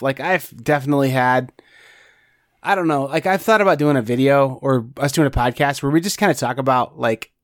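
A man co-hosting a casual podcast at a middle pitch, talking in a rambling, chatty way and often restarting his sentences. His voice is recorded dry and close, with no room sound. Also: no room sound. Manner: rambling, self-correcting, chatty. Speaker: podcast co-host. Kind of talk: casual chat.

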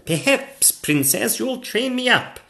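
Man playing a high-pitched, gallant storybook knight. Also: animated, slow pace, vocal fry, sharp tone, exaggerated voice. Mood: keen, hopeful. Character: gallant, earnest male knight.

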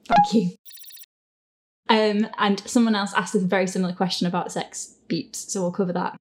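A woman's voice: mid-range voice, steady tone, moderate pace. Giggly woman hosting a casual chat. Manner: giggly, self-deprecating. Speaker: host and audio fiction creator.

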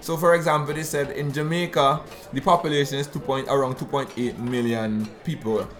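A man's voice: medium pitch, steady tone, fairly quick, fairly bright tone, faint music behind. Caribbean man explaining banking news. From Eastern Caribbean. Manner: explanatory, frequent restarts and fillers. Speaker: podcast co-host. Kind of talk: conversational analysis.

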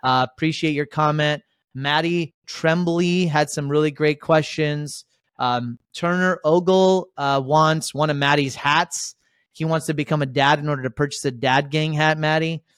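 An upbeat man hosts a casual podcast, his voice smooth and resonant at an ordinary pitch. The sound is dry and close, with no room around it. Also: fairly even intonation, moderate pace, no echo. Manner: upbeat, rambling, lots of shout-outs. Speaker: podcast host.

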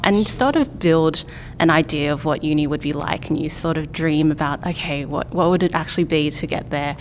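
A young woman hosting a student podcast, reading a polite introduction and asking measured interview questions. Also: low voice, a little hiss or hum. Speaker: podcast host.

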